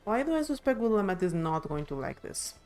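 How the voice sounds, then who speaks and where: A woman's voice: medium pitch, sing-song, a little fast, darkish tone. A woman streaming a game, reading dialogue aloud with side comments and the occasional stumble. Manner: reads with occasional stumbles and self-corrections. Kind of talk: live playthrough, scripted dialogue read aloud with side comments. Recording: game music behind the voice. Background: game music.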